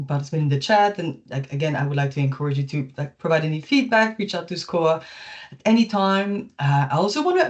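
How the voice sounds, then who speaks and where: A woman's voice: lowish voice, darkish tone. Woman with a cold, dull call audio. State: has a cold. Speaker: workshop host. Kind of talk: informal hosting of online meeting. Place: online video call.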